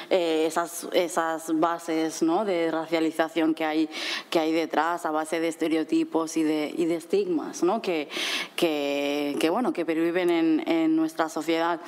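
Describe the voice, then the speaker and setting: A woman's voice, pitched a little low, unfolds slowly at a conference panel. She was born in Nepal and raised in Spain, and she talks in a measured, reflective way, with many pauses and small questions tossed to the listeners. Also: darkish tone. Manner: measured, thoughtful, many hesitations and tag questions. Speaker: panel speaker.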